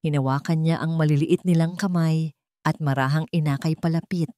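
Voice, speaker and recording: Low-voiced woman narrating a dramatic story. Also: fairly even intonation, brisk, mellow, no echo, clean studio sound. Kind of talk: scripted dramatic story narration in Tagalog radio drama manner.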